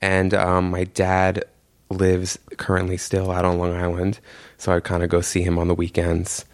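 A New man in his forties rambles casually about his past. His voice is low, flat and smooth. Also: moderate pace. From New York City. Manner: loose, rambling, lots of fillers. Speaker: guest. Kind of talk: casual interview.

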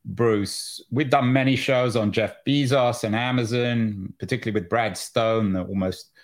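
A male interview host with a medium-pitched voice talks conversationally. He feels his way toward each question in halting phrases, pausing and doubling back as he builds it up. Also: fairly even intonation.

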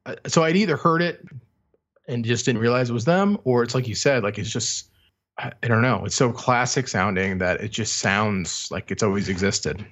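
A man's voice: mid-range voice, normal speed, fairly bright tone. A man talking casually about music as a podcast host, on a slightly dull, lo-fi recording.

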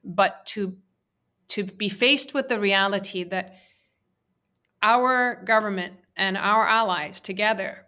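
Bright-voiced woman, halting earnest speech. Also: medium pitch. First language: Persian. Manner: halting, many fillers and restarts. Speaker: interviewee, women's peace advocate. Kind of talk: earnest interview answers.

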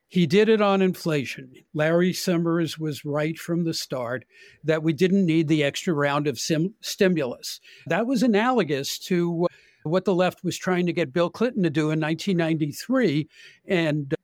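An older man with an American accent giving opinionated, deliberate political commentary in conversation as a podcast guest. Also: fairly high pitch, no echo, clean studio sound.

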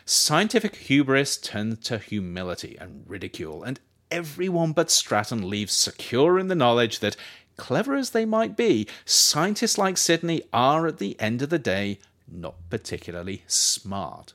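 A man narrates a nonfiction audiobook with a lively, widely moving pitch. His delivery is measured and scripted, leaning expressively on key phrases. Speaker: author reading their own book, narrator.

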